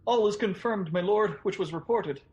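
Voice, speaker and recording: A man's voice: high-pitched, normal speed, darkish tone, muffled recording, close to an everyday voice. An actor playing an anxious, formal, deferential servant to a king in a Shakespearean audio drama.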